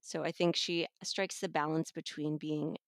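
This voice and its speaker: A woman's voice: deep voice, little pitch movement, unhurried, no room sound, clean studio sound. A middle-aged woman talking casually as an audiobook reviewer.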